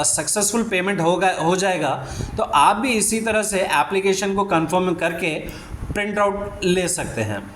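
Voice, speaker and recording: A man's voice: high-pitched, a bit bright. A man hosting an explainer for viewers in an instructional manner, slipping into English phrases. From India. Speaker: job news channel host. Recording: echoey room, faint background noise.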